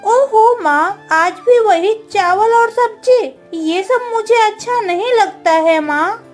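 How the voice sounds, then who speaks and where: A woman as a very high-pitched stubborn little girl. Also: slow, soft background music. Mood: whining. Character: playful, stubborn seven-year-old village princess girl. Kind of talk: Hindi cartoon story acting.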